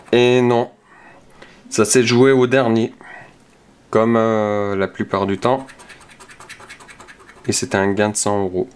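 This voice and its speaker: A man hosts a scratch-card video, calmly checking numbers one by one and muttering softly as he scratches. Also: lowish voice, a little hiss or hum. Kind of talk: casual play-by-play while scratching tickets.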